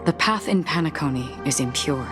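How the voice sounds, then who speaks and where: A woman's voice: sits low, animated, normal speed. An actress playing a stoic, melancholy wandering warrior woman with a subdued, solemn delivery in a game. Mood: matter-of-fact. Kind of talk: dramatic game voice acting. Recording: long reverb, music behind.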